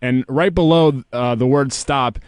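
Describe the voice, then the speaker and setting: A man's voice: medium pitch, a little slow, clean tone, no room sound. A man, co-hosting a casual interview in a conversational way. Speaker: interview co-host.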